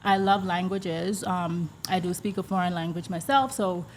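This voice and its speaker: A woman's voice: fairly low pitch, moderate pace, slight background noise. A woman from the Bahamas with a Bahamian accent, telling her story candidly and colloquially as a guest in a casual interview. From Andros, Bahamas. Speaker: podcast guest, restaurant owner and chef.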